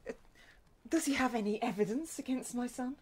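An actress playing an anxious, respectable mother with a husky voice in a radio drama. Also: a little high, a little fast, darkish tone. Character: anxious, respectable mother defending her son.

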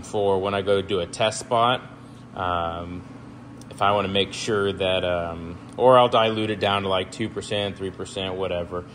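A young man casually walking through his work trailer, talking in a relaxed, rambling way with lots of ums. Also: fairly low pitch, a little hiss or hum. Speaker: pressure washing business owner. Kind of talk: casual walkthrough tour. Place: indoor metal trailer, shop garage. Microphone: handheld phone camera.

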